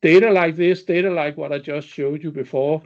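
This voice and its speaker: A man presenting clinical results as an executive on an investor webinar, speaking haltingly with frequent ums. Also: a little high, fairly even intonation. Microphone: webinar call connection. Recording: dull, band-limited webinar audio.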